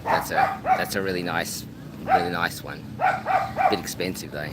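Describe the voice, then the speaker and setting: A man with a fairly low voice chats through a phone live stream from a beachside spot. He rambles casually and keeps repeating words as he restarts. Clear street and vehicle noise runs underneath him. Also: steady tone, moderate pace. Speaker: travel vlogger. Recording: outdoor traffic noise.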